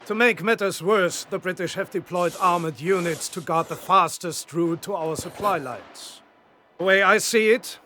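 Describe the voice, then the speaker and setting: Commanding German officer, high forceful voice, rallying speech, war game, faint vehicle noise behind. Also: male voice. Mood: resolute. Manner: resolute, commanding. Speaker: military commander. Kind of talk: dramatic game cutscene speech.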